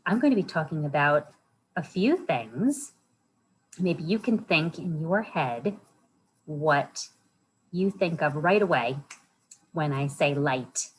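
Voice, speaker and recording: A woman with a slightly low voice speaks very slowly, as a storytime host does for young children. She explains things simply and often asks the listeners questions. There is clear noise behind her on the recording. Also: expressive, poor audio. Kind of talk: children's storytime, reading aloud and chatting with questions.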